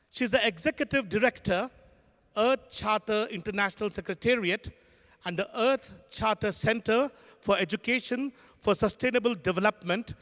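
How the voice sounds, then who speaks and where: A man's voice: very high-pitched, fairly bright tone. A man hosting a conference, formally reading out a speaker's introduction. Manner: formal, announcing. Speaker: conference host. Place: large conference hall. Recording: reverberant hall.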